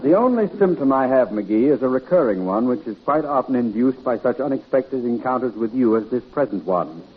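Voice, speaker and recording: An actor playing a pompous, wordy, exasperated middle-aged town mayor in a 1950s radio comedy. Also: male voice, mid-range voice, animated, fairly quick, hardly any pauses, breathy edge. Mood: dryly pompous. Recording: tinny 1950s radio recording, faint noise.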